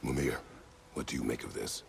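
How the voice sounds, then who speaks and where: An actor playing a stern, brooding older warrior father in a video game, speaking in terse, grave phrases with a very deep, gravelly voice. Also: fairly quick, slight background noise, full character voice. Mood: stern, guarded. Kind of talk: video game drama acting. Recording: music behind the voice.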